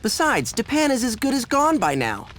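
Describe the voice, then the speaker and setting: A woman's voice: fairly high pitch, wildly swooping pitch, normal speed, a bit bright, a little hiss or hum. A woman playing a brash, taunting warrior of the gods in a video game, talking in casual slang. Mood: teasing, dismissive. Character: brash female Aesir warrior, cocky, scornful of humans. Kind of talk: English video game voice acting.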